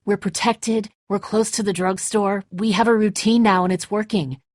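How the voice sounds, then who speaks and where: A woman's voice: mid-range voice, steady tone, moderate pace, darkish tone, dry recording, studio quality, natural voice. A woman playing a tough, bitter, defensive apocalypse survivor in a dramatic video game, speaking in a terse, confrontational way. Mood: firm, practical.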